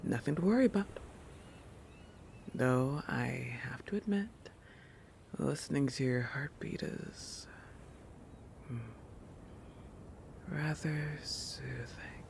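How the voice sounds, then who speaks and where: A possessive shark predator played by a man speaks slowly in a creaky voice, often sinking to a breathy whisper. The delivery is intimate roleplay audio, musing and oblivious, full of thoughtful hums. A faint rumbling noise sits underneath. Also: darkish tone. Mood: protective, clinical pretext.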